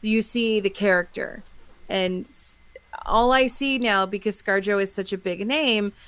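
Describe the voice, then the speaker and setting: Medium-pitched woman chatting on a podcast. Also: measured pace, fairly bright tone, clear, resonant tone. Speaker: podcast co-host. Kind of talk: casual podcast discussion.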